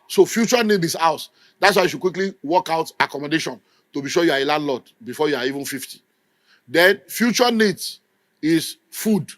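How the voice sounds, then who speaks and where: A man's voice: a little high, fairly bright tone, no room sound. A middle-aged Nigerian man preaching a sermon with an emphatic, scolding delivery. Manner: emphatic, scolding, mimics people in quotes. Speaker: pastor.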